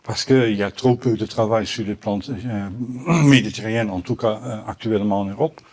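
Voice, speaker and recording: Halting Dutch-accented man. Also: lowish voice, a little mellow. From Netherlands. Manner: halting, many restarts and repeated words. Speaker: botanical garden owner answering questions. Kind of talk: Q&A after a conference talk.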